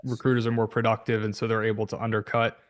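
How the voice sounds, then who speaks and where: A man's voice: on the low side, completely flat delivery, fast. A man hosting a podcast interview, agreeable and often checking his points with tag questions. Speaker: podcast host. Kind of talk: conversational interview.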